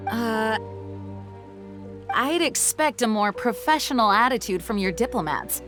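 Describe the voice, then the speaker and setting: A firm, composed knight commander. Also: female voice, on the high side, animated, over music. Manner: firm, measured, formal. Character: young female knight commander, dutiful and composed. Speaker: acting leader of knights. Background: game score.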